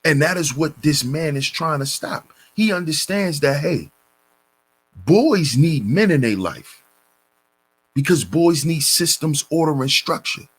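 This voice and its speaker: A man hosting a commentary channel, reading a news story and lecturing on it in a deliberate, preachy way, calling his listeners brothers. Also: fairly high pitch, animated, husky.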